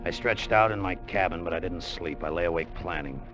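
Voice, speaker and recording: A male actor as a brooding salvage crewman with a low voice, plotting and confiding like a hard-boiled radio narrator. The dull 1950 broadcast recording carries music behind him. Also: fairly quick, natural voice. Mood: brooding, calculating. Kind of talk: 1950s radio drama acting and narration.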